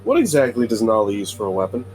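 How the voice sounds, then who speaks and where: A man with a fairly low voice takes part in a tabletop game. He talks casually, as a player among others. There is some music behind him. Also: wildly swooping pitch, fast, a little mellow. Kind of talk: casual chat.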